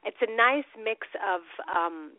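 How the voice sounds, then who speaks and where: A woman's voice: mid-range voice, animated, slow, dry recording. A woman guest on a phone interview with a slight cold and a nasal sound, talking in a bubbly way with lots of laughter and repeated words. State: stuffy nose from a cold. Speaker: guest actress. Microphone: phone or call line. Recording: phone line, dry.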